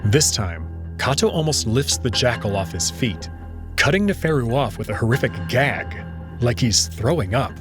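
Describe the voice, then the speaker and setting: A man's voice: medium pitch, big pitch swings, moderate pace, music in the background. A man narrating a scripted story in the first person, in a dramatic reading style.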